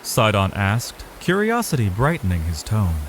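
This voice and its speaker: A man's voice: lowish voice, wildly swooping pitch, moderate pace, slight background noise. A man narrating a scripted fantasy story in a dramatic style.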